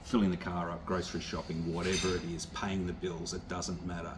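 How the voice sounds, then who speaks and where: A man with an Australian accent speaking unscripted as a visiting pastor, in a halting, plain, reassuring way to a church. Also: deep voice, little pitch movement, noisy background. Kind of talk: unscripted encouragement to a church congregation.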